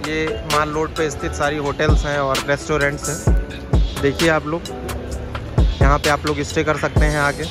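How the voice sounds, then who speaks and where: A man's voice: fairly high pitch, flat delivery, moderate pace. A young man from Lucknow, casually narrating a walking tour of a busy street at night, talking to viewers like a guide. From Lucknow, India. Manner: chatty, addresses viewers as guys, guide-like pointing out sights. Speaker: travel vlogger. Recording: loud street music over voice. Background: loud music from the street.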